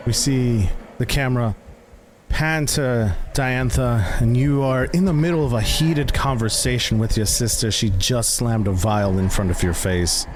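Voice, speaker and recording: A man running a horror tabletop game, narrating cinematically and deliberately as he addresses his players directly. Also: medium pitch, background noise. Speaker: game master. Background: background music.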